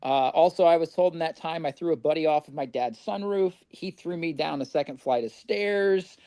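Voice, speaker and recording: A man's voice: fairly high pitch, moderate pace, warm tone, clear, resonant tone, no room sound. A middle-aged man from Indiana, a podcast guest telling his recovery story in a candid, rambling way and swearing freely.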